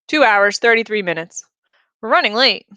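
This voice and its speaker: A woman's voice: mid-range voice, lively intonation, moderate pace, sharp tone, clean tone, no room sound. A woman playing a detached, businesslike surgeon in a story, talking in a clipped, matter-of-fact way. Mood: brisk, routine.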